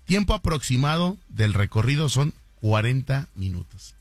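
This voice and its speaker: A man's voice: mid-range voice, very animated, normal speed, dry recording, faint music behind. A man hosts a sports talk show in a mid-range voice. He talks in an opinionated, teasing way. The sound is dry and close to the mic. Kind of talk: casual sports chat.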